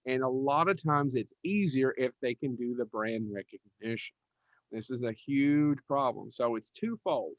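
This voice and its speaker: A man's voice: medium pitch, normal speed, no room sound, dull audio. A man co-hosting a casual podcast panel, talking in an emphatic, rambling, informal way. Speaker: podcast co-host.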